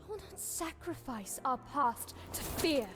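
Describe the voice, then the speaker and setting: A woman's voice: sits high, expressive, a little slow, over music. An actress playing a defiant, resolute warrior woman in a video game, firm and unyielding.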